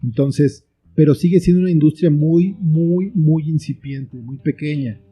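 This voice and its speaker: Mexican man, higher-set voice, very soft dark tone, reflective interview guest, guitar music behind. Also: a little slow, breathy edge. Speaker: interview guest, screenwriter and author. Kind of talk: conversational interview answers.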